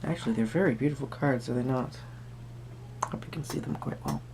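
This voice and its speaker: A performer playing a quirky, friendly tarot reader at a Renaissance fair, speaking to the viewer in halting, theatrical phrases. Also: medium pitch, a little mellow, a little hiss or hum, close to an everyday voice. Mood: whimsical, musing. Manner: hesitant, theatrical, halting phrasing with sudden emphasis. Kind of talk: improvised first-person roleplay addressed to the viewer.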